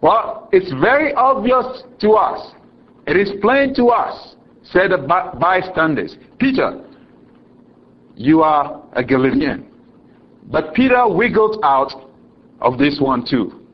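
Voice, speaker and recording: A man from Ghana with a Ghanaian accent, preaching a sermon slowly and deliberately, chuckling often and dropping low for solemn lines. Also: lively intonation, highs cut off.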